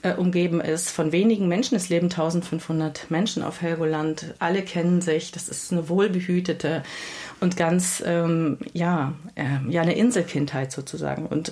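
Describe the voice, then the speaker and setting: A woman author answering interview questions at a measured pace, often pausing to search for words. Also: lowish voice, a little mellow. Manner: measured, with frequent hesitations. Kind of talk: thoughtful radio interview answers.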